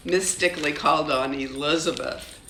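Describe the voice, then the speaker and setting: An older woman, a grandmother, reads a children's storybook aloud with expressive, deliberate phrasing. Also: low voice, a little hiss or hum. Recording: roomy, echoing home recording.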